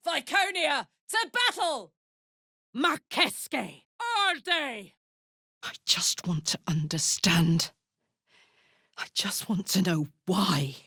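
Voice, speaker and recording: A battle-hungry video game warrior woman with a big heart and a salty, informal tongue. Her delivery is unhurried and emphatic, swinging widely in pitch, in a very dry studio sound. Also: big pitch swings, pushed and bright, husky, no echo, exaggerated voice. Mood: battle-ready, determined, then wistful, hurt. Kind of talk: video game character voice lines.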